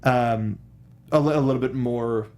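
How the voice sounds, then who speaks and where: Halting, enthusiastic man talking film. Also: mid-range voice, steady tone, a little mellow. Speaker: co-host of a film discussion show. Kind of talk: casual film commentary.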